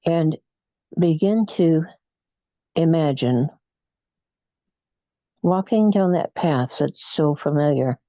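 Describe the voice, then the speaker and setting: A person leading a guided meditation in a soothing, unhurried, hypnotic manner with frequent pauses. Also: mid-range voice, animated, no echo, highs cut off. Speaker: guided imagery meditation leader.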